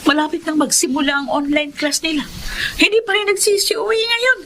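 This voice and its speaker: Emotional, high-voiced anguished mother. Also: animated, normal speed, a bit bright, a little hiss or hum. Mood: worried, fretting. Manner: emotional, pleading. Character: anguished, protective mother, a long-wronged wife. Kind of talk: radio drama acting.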